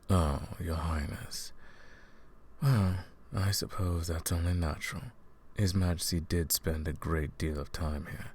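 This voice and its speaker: A man's voice: sits low, darkish tone, slight background noise. A man playing a falsely sympathetic, condescending royal advisor in a fantasy audio roleplay, speaking to the listener in a courtly, patronizing, theatrical way. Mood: feigned sympathy.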